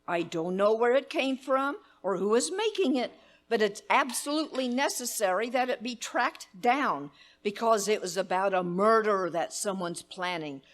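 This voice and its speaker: A woman's voice: lively intonation, normal speed, lightly acted. Lady acting out a bossy frantic rich lady on the phone, old-time radio play, voice swoops all over. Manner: imperious, fretful and shrill. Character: demanding, frantic wealthy woman caller, middle-aged. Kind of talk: live radio play staged as 1930s radio acting.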